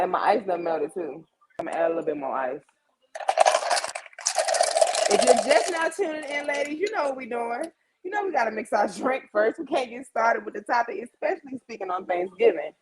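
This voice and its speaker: A woman's voice: mid-range voice, darkish tone, low-quality recording. A woman of about thirty hosting a casual livestream, chatting informally and slangily straight to her audience. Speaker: host of a live chat show.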